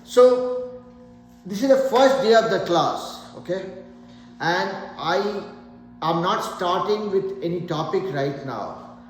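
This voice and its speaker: Echoey, high-voiced man teaching math. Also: animated, slow pace, faint music behind. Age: 40s. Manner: patient, repeats points, asks students questions. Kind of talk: live online class. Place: classroom. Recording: echoey room, faint background noise.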